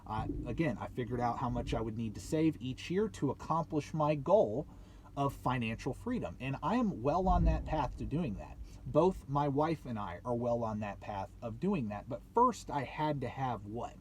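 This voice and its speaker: A man's voice: mid-range voice, animated, moderate pace, darkish tone, slight background noise. A man in his late twenties giving a motivational vlog talk to viewers, speaking emphatically, repeating points and asking rhetorical questions. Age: late 20s. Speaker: YouTube channel host.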